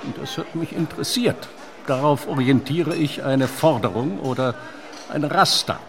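A man's voice: medium pitch, normal speed, creaky, natural voice. A man playing an earnest opera theorist in a radio play interview, speaking formally, learnedly and with emphasis. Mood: earnest, didactic. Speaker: interviewed author.

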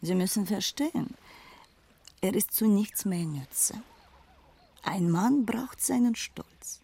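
An actress in a dubbed film thriller playing a proud, world-weary former madam turned respectable wife, disdainful and wistful in manner. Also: female voice, mid-range voice, lively intonation, slow pace, vocal fry, warm tone, slightly rough, exaggerated voice.

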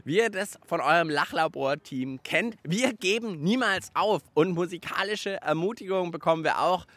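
A man's voice: high voice, normal speed, a bit bright, dry recording. A middle-aged man, hosting a children's radio show outdoors in a park, chatting casually and thinking aloud with fillers. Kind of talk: casual on-location radio chat.

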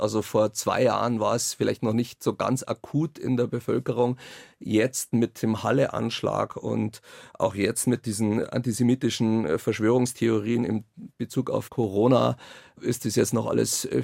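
A man's voice: on the low side, gravelly, darkish tone, no echo, studio quality. A man with a Bavarian accent giving conversational interview answers as a radio play author.

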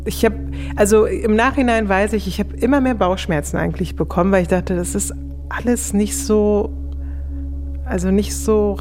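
A woman's voice: mid-range voice, normal speed, darkish tone, faint music behind. A woman casually recalling her own experience in an interview, colloquial and self-reflective. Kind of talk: casual personal recollection.

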